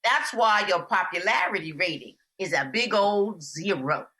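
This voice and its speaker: A woman's voice: medium pitch, lively intonation, a little slow, pushed and bright. A woman playing Anger as a personified emotion in an audio drama, fierce, rebellious, brash and taunting.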